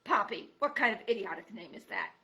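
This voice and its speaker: A woman's voice: medium pitch, animated, fairly quick, pushed and bright. A woman voicing a grumpy old male porcupine who bellows and screeches insults in a storybook reading. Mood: gruff scornful.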